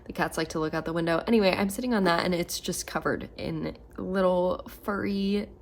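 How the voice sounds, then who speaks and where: A woman in her twenties chatting casually at home about books, a little hoarse from losing her voice and filling her talk with "like". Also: fairly low pitch, a little fast. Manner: chatty, lots of "like" fillers. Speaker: book vlogger. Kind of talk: casual reading vlog.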